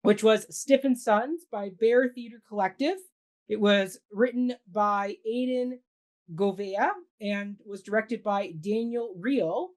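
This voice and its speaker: A woman hosts a casual talk show about theatre. She sounds upbeat and keeps things moving with quick yeses, at an everyday middle pitch. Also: darkish tone. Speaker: host. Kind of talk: casual podcast hosting.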